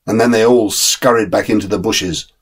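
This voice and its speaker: A man voicing a loyal, plain-spoken dog who narrates a storybook tale in a measured, wistful, husky way. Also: fairly low pitch, steady tone. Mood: wistful, earnest. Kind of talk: dramatic short story reading.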